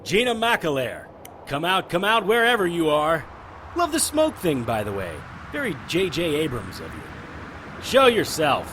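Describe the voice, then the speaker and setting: Supernatural audio drama hero: wisecracking, smug investigator, higher male register, very varied intonation. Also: wildly swooping pitch, measured pace, fairly bright tone, slight background noise, exaggerated voice. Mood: taunting. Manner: flippant, smug, theatrical.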